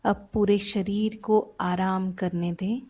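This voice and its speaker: A woman softly guides a sleep meditation in a soothing, unhurried way, pausing between short phrases. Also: mid-range voice, fairly even intonation.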